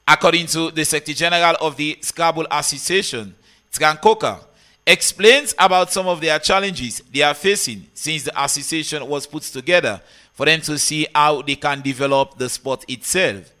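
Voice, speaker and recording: A man's voice: on the high side, normal speed, pushed and bright. A man, narrating a scripted news report as a sports correspondent.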